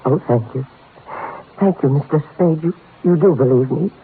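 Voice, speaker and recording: An actor playing an anxious, earnest runaway husband in a 1950s radio drama, hesitant and repeating himself, on an old radio recording. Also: male voice, on the high side, normal speed, phone-quality sound, slight background noise, close to an everyday voice. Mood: anxious, pleading. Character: anxious, pleading returned husband hiding from danger.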